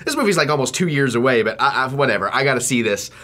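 A young man with a stuffy head cold and a fading voice, gushing excitedly in a casual reaction video from a small home studio. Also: medium pitch, quick pace. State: stuffy, losing his voice from a cold. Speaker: trailer reaction vlogger.

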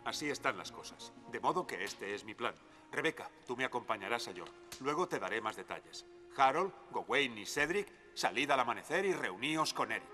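A noble cartoon knight, firm and bright toned. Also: male voice, medium pitch, sing-song, fairly quick, few pauses, faint music behind. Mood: earnest. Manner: earnest, firm. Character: noble young knight Ivanhoe, brave and loyal. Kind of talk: cartoon dub acting.

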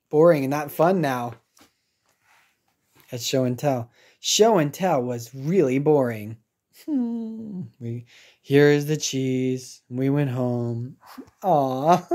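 A woman's voice: low-pitched, dark tone. An American woman teacher reading a children's picture book aloud, expressively, with simple asides and reactions for young learners.

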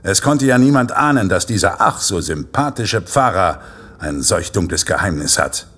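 An actor playing a mysterious, vengeful Santa Claus killer in a horror audio drama, grave and moralizing with a rough, measured delivery. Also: male voice, low-pitched, sing-song, a bit bright, exaggerated voice. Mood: stern, menacing.

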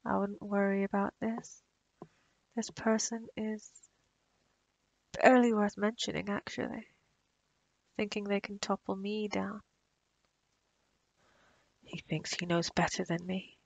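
Woman playing a creaky, slow-talking possessive vampire woman. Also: mid-range voice, little pitch movement, dry recording, poor audio. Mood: dismissive, menacing. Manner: theatrical, slow, taunting, giggly. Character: possessive, menacing female vampire doting on her mate. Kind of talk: ASMR roleplay audio drama.

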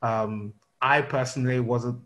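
A man's voice: medium pitch, fairly even intonation, measured pace, fairly bright tone. Man, 50s, London accent, interviewer, hesitant rambling, video call mic. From United Kingdom. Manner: rambling, many fillers and restarts. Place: indoor room at home. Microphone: video call webcam.

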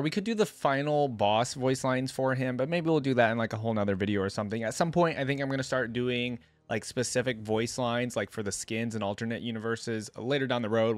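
A man's voice: medium pitch, no room sound. Casual young man, dry close-mic sound. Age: young adult. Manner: informal, rambling. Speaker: reaction video host. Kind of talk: casual chat to camera. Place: small indoor gaming setup. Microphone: close desk microphone on arm.

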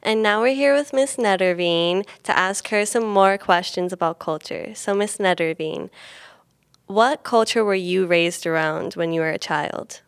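A woman's voice: medium pitch, measured pace, clean tone, dry recording. Teen girl reporter, clean dry voice, polite questions into a handheld mic. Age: teens. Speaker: student reporter. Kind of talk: interviewing teachers. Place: classroom indoors.

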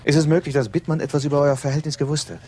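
A man's voice: a little high, level tone, rapid-fire, warm tone, natural voice. Guy acting a dogged cop in a crime radio play, keeps pushing with short questions, some noise behind. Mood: probing. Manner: pressing, clipped questioning. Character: dogged, impatient male police detective obsessed with a case. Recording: radio drama with background noise.

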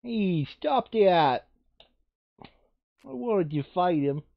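A man talks casually through a tabletop game with a bantering, joking manner. His pitch moves around in a lively way. The recording is dry and a little dull. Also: very slow, vocal fry, no room sound. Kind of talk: casual gameplay chat.

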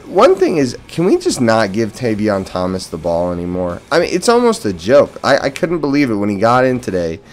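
A man's voice: lowish voice, wildly swooping pitch, fairly quick, few pauses, slight background noise. An American man giving casual post-game football commentary as a fan and host, opinionated and emphatic on key words. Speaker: sports podcast host and fan. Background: background music.

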